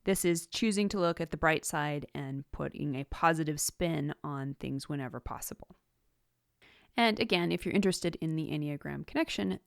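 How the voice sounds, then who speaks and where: A woman with a slightly low voice delivers scripted instructional narration as a podcast host. Her manner is measured and orderly, laying out points one by one. The recording is close and dry, with very little room sound. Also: dry recording. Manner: measured, enumerating points clearly. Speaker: podcast host giving writing tips.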